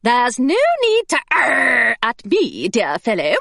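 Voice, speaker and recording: A woman voicing a prim, proper older governess with a brisk, very proper manner. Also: on the high side, wildly swooping pitch, gravelly, pushed and bright, dry recording, clean studio sound, full character voice.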